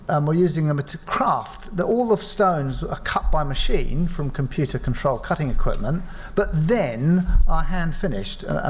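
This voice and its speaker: A man from an engineering firm speaking on a panel at a live event, measured and reflective, modest about his own part in the work. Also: a little high. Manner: measured, reflective, self-deprecating. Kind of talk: panel talk. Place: event space with live audience.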